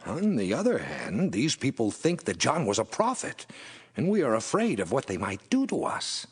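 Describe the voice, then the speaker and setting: A suspicious male chief priest in a scripture drama, always challenging. The voice is husky and swings very widely in pitch. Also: huge pitch swings, moderate pace. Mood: wary scheming.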